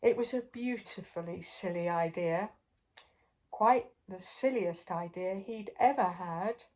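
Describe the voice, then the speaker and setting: An older woman reading a children's story aloud expressively, pausing for drama and putting questions to the listener. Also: on the low side, a little mellow. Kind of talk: animated read-aloud to young children.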